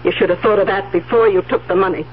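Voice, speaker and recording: A woman's voice: mid-range voice, steady tone, quick pace, slight background noise, lightly acted. An older woman playing a stubborn, suspicious aunt in a 1970s radio drama, tart and clipped. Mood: curt. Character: suspicious, stubborn old aunt living alone. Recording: muffled, humming old radio recording.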